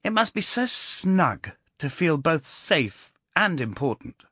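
A man's voice: on the high side, big pitch swings, slow, bright, forceful tone, breathy edge, no room sound, clean studio sound, voice pushed up, full character voice. A man voicing a precocious boy with a curiously precise treble, innocent and probing in manner. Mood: innocently needling.

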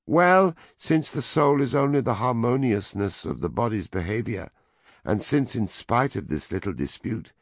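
A man voicing a weary male vice president who reasons in measured, faintly bitter tones. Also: medium pitch, lively intonation, dry recording, clean studio sound, pitched above the performer's own voice. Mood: reasoning.

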